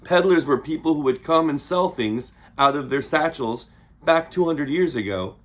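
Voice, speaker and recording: A man's voice: on the high side, normal speed. A man hosting a read-aloud for children, reading expressively and adding playful, teacherly asides and questions. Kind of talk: storybook read-aloud with asides to young listeners.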